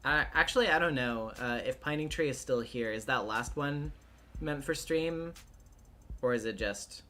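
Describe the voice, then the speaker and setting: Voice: medium pitch, moderate pace, pushed and bright. A livestreamer and voice actor with a male-range voice, chatting casually in a hesitant, thinking-aloud way.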